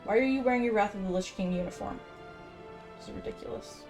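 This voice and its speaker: A woman's voice: medium pitch, fast, a little mellow. Sarcastic woman doing casual game commentary. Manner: sarcastic, sweary, exasperated. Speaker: gaming video host. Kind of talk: casual game commentary, reading quest text aloud. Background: game music.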